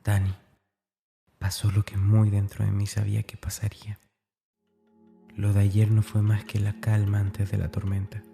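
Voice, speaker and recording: Un hombre interpretando a un escolar atormentado, voz muy oscura. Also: male voice, lowish voice, monotone, moderate pace, gravelly, long pauses, warm tone, soft background music. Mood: uneasy, confiding. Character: tormented, fearful schoolboy writing in his diary, 1965. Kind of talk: dramatic first-person diary narration.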